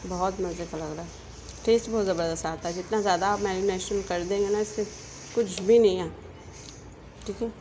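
A woman with a Pakistani Urdu accent walks through a home recipe, her voice in a plain mid range. She talks in a loose, chatty way and keeps checking in after each step. The phone recording picks up clear background noise from the kitchen. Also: mid-range voice, steady tone, moderate pace, pauses often, dark tone, rough recording. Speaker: home cooking channel host. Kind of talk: casual cooking tutorial.

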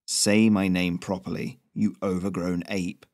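A man's voice: low-pitched, level tone, a little slow, a little mellow, no room sound. A man voicing a proud male messenger goose who talks in a dry, aggrieved, withering way. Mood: sardonic.

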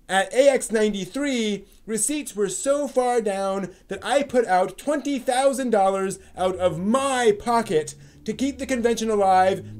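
A man's voice: high-pitched, a little mellow, pitched above the performer's own voice. A man voicing an exasperated older puppet convention veteran, self-righteous and drawing out words for emphasis. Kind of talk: comic dramatic reading.

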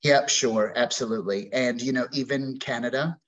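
A man's voice: mid-range voice, moderate pace, fairly bright tone, rough recording. A man in his forties from Bermuda, a real estate agent talking as a guest on a video call interview, upbeat and effusive with many fillers. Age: 40s. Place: indoor room at home. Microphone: video call webcam.

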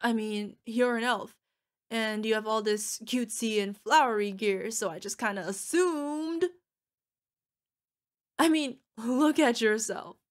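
A woman's voice: on the high side, a little slow, close to an everyday voice. A woman playing an affectionate young orc girlfriend in an ASMR roleplay, emotional and playful as she talks to her partner. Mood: playful, defensive. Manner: gushing, emotional, playful, talking to an unheard partner. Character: young orc girlfriend, fierce yet cutesy, needy, affectionate.

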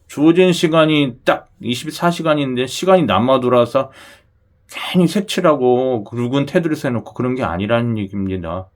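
A man's voice: medium pitch, animated, moderate pace. Chatty man with a regional dialect. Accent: Korean regional dialect. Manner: chatty, repeats "그죠?" to the audience. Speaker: lottery channel host. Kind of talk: casual talk to viewers.

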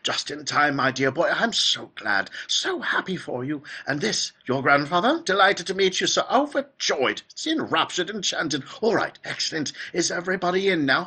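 A man voicing an excitable old factory owner from a storybook, high and fluty, gushing with repeated exclamations of welcome. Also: moderate pace, few pauses, husky, heavily acted. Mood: effusive, bustling.

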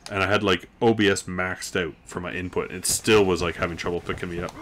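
A man with a very low, mumbling voice, casually thinking aloud while he streams a game from his room. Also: normal speed, noisy background. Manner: thinking aloud, relaxed and rambling. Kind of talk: casual gameplay commentary. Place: home room at a desk. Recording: game music playing clearly beneath. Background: game music.

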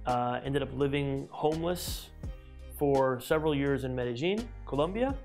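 An energetic man in his thirties hosts an interview in a glass-walled office. He talks fast and eagerly, restarting his sentences and piling on fillers. A lapel mic picks him up, and soft music plays faintly behind him. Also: medium pitch. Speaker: host. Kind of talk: enthusiastic interview intro.

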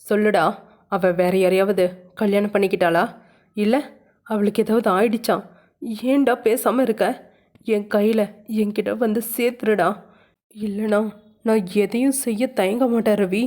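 A woman voicing Veera, a furious, menacing young landlord man who shouts threats, with a mellow voice. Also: medium pitch, fairly even intonation, a little slow, long pauses, close to an everyday voice.

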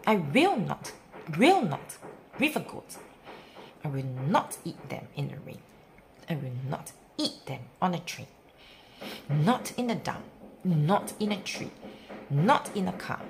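A woman reading a rhyming picture book aloud for young children in an expressive, theatrical, sing-song way with emphatic pauses. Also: on the low side, creaky, a little hiss or hum. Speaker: children's story reader.

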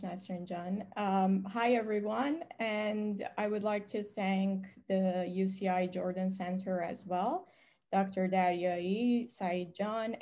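Woman from iran telling her story on a video call, steady and earnest. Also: mid-range voice. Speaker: panelist, professor. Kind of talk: personal introduction on a panel. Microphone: video call webcam.

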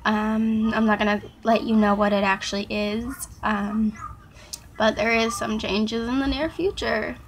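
A teenage girl vlogging at her webcam, hoarse and gravelly from a sore throat, chatting in a rambling, apologetic way full of ums. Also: on the high side, steady tone, a little slow, a little hiss or hum. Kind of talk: casual chat to camera. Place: small dark bedroom. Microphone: webcam at arm's length.

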